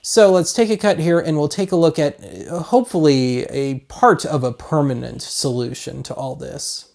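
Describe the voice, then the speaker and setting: A man's voice: on the high side, normal speed, gravelly, darkish tone. A man in his thirties from Colorado with an American accent, chatting casually through a product review in a wry, rambling way. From Colorado, United States. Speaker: host of a hobby video series.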